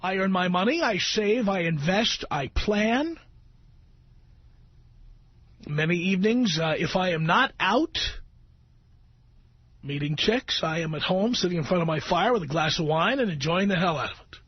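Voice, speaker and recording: A middle-aged American man hosting talk radio with an opinionated monologue, speaking in an emphatic, sardonic way with deliberate phrasing. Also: sits high, lively intonation, breathy edge. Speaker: radio talk show host. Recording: dull, roomy radio recording with music.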